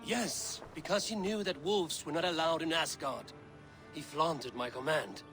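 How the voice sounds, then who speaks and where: Solemn Norse warrior over music. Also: male voice, on the high side, fairly bright tone. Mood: brooding. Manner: grave, deliberate, formal storytelling phrasing. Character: Norse warrior recounting visions of godhood, solemn and intense. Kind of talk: cinematic video game drama acting.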